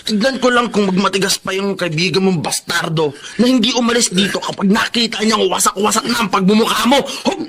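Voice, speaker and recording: A man's voice: high-pitched, brisk, few pauses, a crowd in the background. An actor playing a threatening, taunting male bully in a melodramatic radio drama. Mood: menacing. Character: menacing, taunting male bully, violent enforcer.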